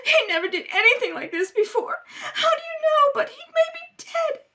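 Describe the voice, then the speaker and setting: Woman acting a high, sobbing, hysterical young wife. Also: sing-song, brisk, pushed and bright, slight background noise, heavily acted. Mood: hysterical, tearful. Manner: wailing, melodramatic. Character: distraught young wife, weepy, hysterical, bitter. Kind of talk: radio play acting.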